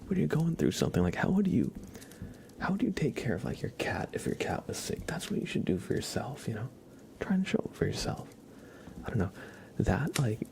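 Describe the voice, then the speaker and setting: A man chatting casually on an ASMR livestream in a soft, often whispered voice, relaxed and rambling, close to the microphone. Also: fairly low pitch, creaky, slight background noise. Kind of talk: ASMR, casual chat with viewers.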